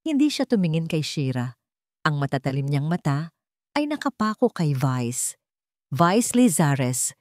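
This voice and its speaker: Lowish, expressive woman storyteller. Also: no room sound, studio quality. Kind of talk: dramatic scripted narration.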